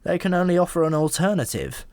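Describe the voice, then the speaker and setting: A man's voice: sits high, fast talker, a little mellow, clean tone, no echo, pitched above the performer's own voice. A man voicing a talking pigeon in an audiobook, a knowing and easily exasperated explainer with a wry, emphatic manner. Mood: chatty, explaining.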